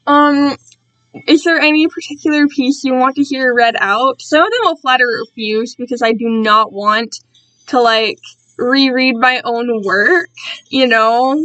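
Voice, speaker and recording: A fairly high-voiced woman talks at an unhurried pace, as if live to her viewers. She rambles casually, gets sidetracked and puts herself down. The sound is dry, with little room around the voice. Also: fairly bright tone, dry recording, dull audio, faint music behind. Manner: rambling, self-deprecating, easily distracted. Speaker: streamer reading own fan-written work to viewers. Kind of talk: casual live-stream chat.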